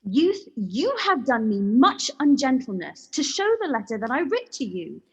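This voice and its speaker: A woman's voice: on the high side, very animated, moderate pace, slightly rough, heavily acted, poor audio. A woman playing a proud, smitten young shepherdess in a Shakespearean radio play, dramatic and petulant. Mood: indignant.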